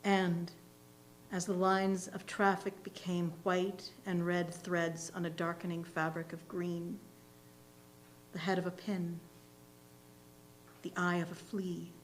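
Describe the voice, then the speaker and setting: Lowish-voiced woman reading a short story. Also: little pitch movement, slow pace, long pauses, poor audio. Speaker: reader at a readers' theatre. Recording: faint hum and background noise.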